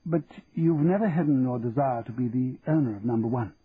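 A man's voice: medium pitch, a little fast, natural voice. A man with a Cockney accent voicing a shrewd, confident shopkeeper who bargains in a dry, teasing manner. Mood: wry. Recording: tinny phone-like sound with faint hiss.

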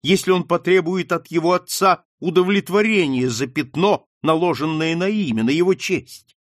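A man's voice: fairly high pitch, animated, unhurried, dry recording, clean studio sound. A man voicing an anguished young daughter who pleads with her mother, speaking in an impassioned, rising way in an audiobook reading.

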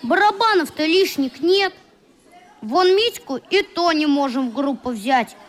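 A woman's voice: high voice, animated, unhurried, a faint crowd. An actress playing an earnest, fair-minded young boy who leads his group in a radio play, with a matter-of-fact manner. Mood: wary.

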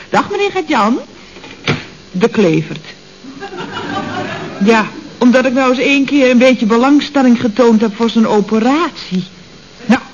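An actress playing a chatty, coy office receptionist in a radio comedy, with mannered politeness and a Netherlands Dutch accent. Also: female voice, on the high side, a little mellow, natural voice. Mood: irritated. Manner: mannered, over-polite telephone patter. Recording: dull old radio recording, faint noise.

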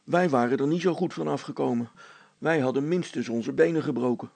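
A man's voice: medium pitch, fairly quick, gravelly, darkish tone, dry recording. A man voicing a practical, cautious middle-aged father and handyman in a storybook, talking in a hearty, colloquial, plain-spoken way. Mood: concerned, firm.

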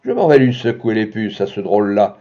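A man voicing a furious jealous man who shouts insults, on a dull lo-fi recording. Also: on the low side, big pitch swings, a little fast. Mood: raging. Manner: shouting, blustering.

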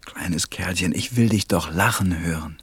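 An actor playing a pensive, tender man in a German radio play, narrating and speaking gravely and deliberately in a husky, breathy voice. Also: deep voice, fairly bright tone, heavily acted. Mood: tender, troubled. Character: pensive, tender adult male narrator befriending a small boy.

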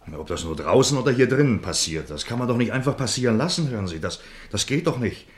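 An actor playing an anxious, insistent young man in a German radio play, halting and polite as he urges others. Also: mid-range voice, animated, close to an everyday voice. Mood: urgent. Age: young adult. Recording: roomy, echoing radio studio.

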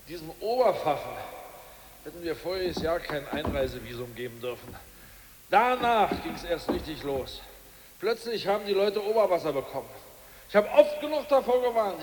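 A grumbling deposed party official. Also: male voice, high voice, expressive, moderate pace, in a large hall, noisy background. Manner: grumbling, hesitant, fussy. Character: ousted male Politburo member, fretful and grumbling. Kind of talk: 1980s radio play acting.

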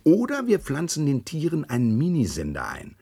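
An actor in a German radio play, playing a boastful, scheming animal park director, effusive and emphatic, with hesitations and repeated words. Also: male voice, on the high side, huge pitch swings, normal speed, dark tone, slightly rough, exaggerated voice.

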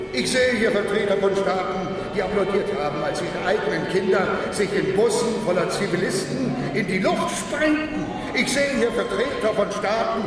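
A high-pitched theatrical billionaire visionary in an echoey hall. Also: male voice. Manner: declamatory, theatrical, emphatic. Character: impassioned visionary male billionaire, backer of a space project. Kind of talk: radio drama acting, oratory. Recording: echoey hall, distant, noisy ambience.

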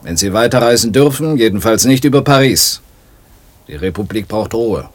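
An actor playing a stern, suspicious border official in a radio drama, curt and bureaucratic. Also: male voice, fairly low pitch, animated, normal speed, a little hiss or hum, close to an everyday voice.

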